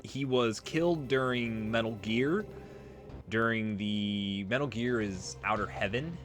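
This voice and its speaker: A man's voice: lowish voice, unhurried. A man giving casual let's play commentary, explaining game lore in a conversational, rambling way. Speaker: let's player. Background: game music and audio.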